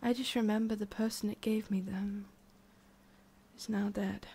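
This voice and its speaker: Haughty forest witch, played by a woman, ASMR roleplay. Soft-spoken, halting, condescending, with whispery breaths and a hidden tender streak. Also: medium pitch, steady tone, breathy edge. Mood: wistful, halting. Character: haughty, prickly tsundere forest witch, secretly soft-hearted.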